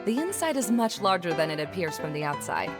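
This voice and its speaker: A woman voicing a composed, reassuring young woman in a video game, guiding her companions in a gentle, explanatory way. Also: on the low side, big pitch swings, a little fast, loud music behind the voice. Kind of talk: video game character voice acting.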